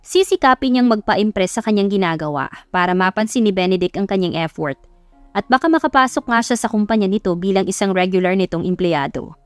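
A woman's voice: mid-range voice, lively intonation, brisk, dry recording, faint music behind. A woman with a Filipino accent reading a scripted story as an audiobook narrator.

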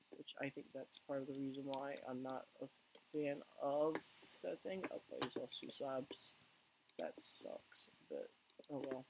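A man hosting his own gaming videos, his voice hoarse and worn down as he talks through a game. He rambles in a casual way, full of ums and restarts, with a gravelly fry at the bottom of his voice. A faint hiss and mouse clicks sit behind him. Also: mid-range voice, low-quality recording. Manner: rambling with many fillers and restarts. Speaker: gaming channel host. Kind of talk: casual let's play commentary.

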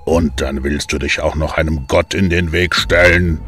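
A man voicing a menacing, imperious god of the underworld in an audio drama, speaking in drawn-out threats. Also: extremely low pitch, fairly even intonation, fairly bright tone, pitched below the performer's own voice. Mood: scornful. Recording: music under the voice.

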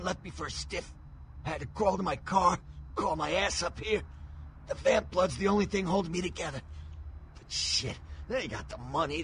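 An actor playing a badly beaten, bitter gangster ghoul in a video game, groaning in pain. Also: male voice, a little high, fairly bright tone, a little hiss or hum. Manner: groaning, pained, gritty streetwise talk. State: in pain, straining.

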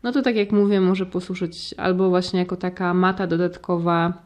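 Lower-pitched woman in casual chat. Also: level tone, moderate pace, a little mellow. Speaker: podcast co-host.

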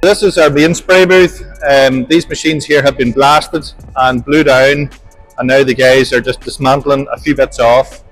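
A man's voice: medium pitch, fairly even intonation, measured pace, faint music behind, rough recording. A middle-aged man, explaining a large industrial workshop step by step as he walks through it. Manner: plain, practical, explaining step by step. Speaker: workshop manager giving a tour. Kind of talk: walking tour explanation.

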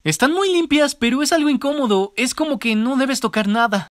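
A man narrating an animated storytime video in an expressive, comedic and self-deprecating way. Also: extremely high pitch, fairly quick, dry recording, clean studio sound.

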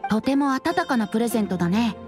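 A woman voicing a youthful, boyish bard in an anime game, playful and breezy with gentle teasing. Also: a little high, animated, fast talker, over music, close to an everyday voice.

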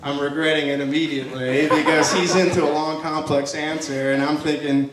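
A man's voice: a little high, level tone. Young man giving a toast, distant and echoey. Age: young adult. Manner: halting, affectionate, teasing delivery. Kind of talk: informal joking wedding speech. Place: large wedding reception tent at night. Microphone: handheld PA mic, picked up by distant camera. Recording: distant echoey PA sound, noisy guest-filled tent. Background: noisy wedding guests.

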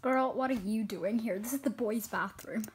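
A woman's voice: a little high, normal speed, a little hiss or hum. A woman giving casual reaction commentary in an exclamatory, teasing way, recorded indoors in a bedroom. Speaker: reaction video host.

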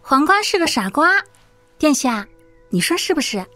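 High-voiced clever young maid. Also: female voice, big pitch swings, moderate pace, pushed and bright, no room sound, soft background music, heavily acted. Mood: wistful, composed. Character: frail, clever young maid, softly devoted schemer. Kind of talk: audio drama dialogue.

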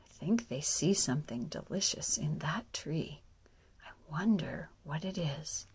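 A woman reading a picture book aloud to young children, often hushed, with exaggerated wonder. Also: sits low, animated, measured pace, breathy edge. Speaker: storyteller and children's music teacher.

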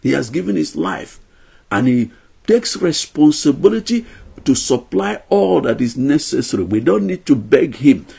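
Older man teaching, husky gravelly voice. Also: on the high side, big pitch swings, normal speed, warm tone. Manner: earnest, emphatic, counts out numbered points. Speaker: Bible teacher. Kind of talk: teaching a Bible lesson to camera. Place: small indoor room at home.

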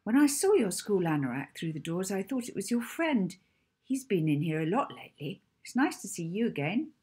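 A woman voicing a kind, timid female librarian in an audiobook, piping and gentle in manner. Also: medium pitch, very animated, moderate pace, husky, voice pushed up. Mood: friendly. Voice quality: soft, wren-like piping. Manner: apologetic, gentle, hesitant.

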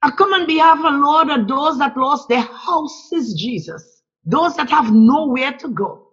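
A woman's voice: high voice, lively intonation, a little slow, a bit bright. A woman leading a fervent spoken prayer, pleading and repeating calls to God and the Lord between phrases. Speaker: prayer leader. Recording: dull lo-fi recording.

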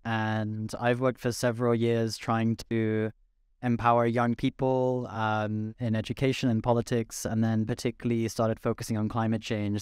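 A man's voice: lowish voice, a little mellow, clear, resonant tone, no room sound, clean studio sound. A young man from London introducing himself as a panelist on stage, earnest and upbeat, with a handheld mic. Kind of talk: panel talk. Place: stage in a large event hall.

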